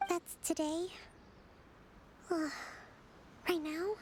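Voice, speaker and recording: A woman voicing a gentle, composed young island leader in a video game, polite and measured but overworked. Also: very high voice, level tone, noisy background, exaggerated voice. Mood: gracious, weary. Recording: game music behind the voice.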